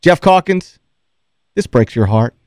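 High-voiced male sports radio host, rambling storyteller, dry studio mic sound. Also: lively intonation, unhurried, darkish tone, dry recording. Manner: rambling storyteller who laughs often. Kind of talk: sports talk radio banter.

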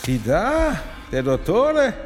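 A sly, mocking man who gambles and hires out his back. The voice is high and very lively, with a lot of creak. It is theatrical radio drama over clear music. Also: slow, exaggerated voice. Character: sly, mocking male gambler hiring himself out as carrier. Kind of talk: radio play acting.

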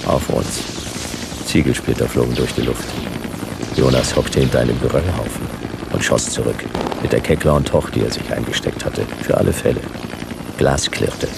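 An actor playing a world-weary detective, narrating a sci-fi radio play in dry, clipped noir fragments with a gravelly voice. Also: male voice, a bass voice, steady tone, moderate pace, heavy background noise, full character voice. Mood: terse, tense. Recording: music behind the voice.

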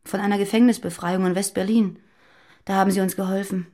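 A woman playing a fearful, guarded former militant on the run in a naturalistic radio drama, confiding and halting. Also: mid-range voice, steady tone, dark tone, lightly acted.

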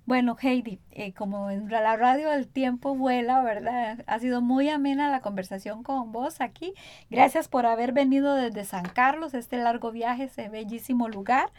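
A woman's voice: fairly high pitch, moderate pace, a little mellow, no echo. A woman with a Costa Rican accent hosting a radio interview in a conversational way. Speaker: radio program host.

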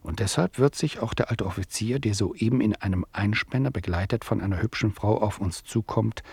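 An actor playing a wry, teasing author-narrator in a literary radio play, ironic and rhetorical. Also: male voice, fairly low pitch, fairly quick, gravelly, a little mellow, slightly rough, dry recording, heavily acted. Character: wry, teasing male author-narrator sparring with his listener.

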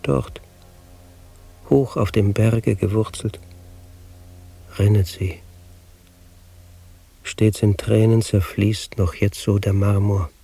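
Male radio play narrator: solemn verse recitation, unhurried and measured, soft dark tone. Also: fairly low pitch, steady tone, creaky, breathy edge, soft background music. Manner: measured, grave, declamatory.

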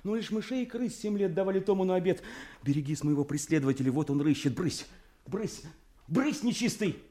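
An actor playing a frantic, pitiful mad beggar haunted by demons, rambling and chanting in a theatrical radio play. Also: male voice, sits high, animated, brisk, dark tone, roomy sound. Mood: feverish rambling. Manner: rambling, chanting, erratic outbursts. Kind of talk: theatrical radio drama acting.

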